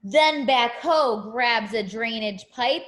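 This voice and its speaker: A woman's voice: on the high side, slow pace, fairly bright tone, roomy sound. A woman teacher reading a picture book aloud to young children, expressively, with simple asides to her listeners.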